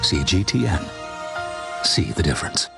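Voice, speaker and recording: Super deep announcer guy, weighty promo read, big music under it. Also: lively intonation, moderate pace, creaky. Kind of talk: channel promo voice-over. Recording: loud music bed.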